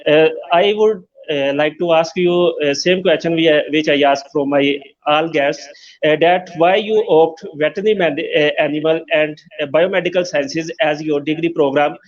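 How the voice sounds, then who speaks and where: A man hosting an interview, speaking formally and haltingly with many fillers. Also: on the high side, dull audio. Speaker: host.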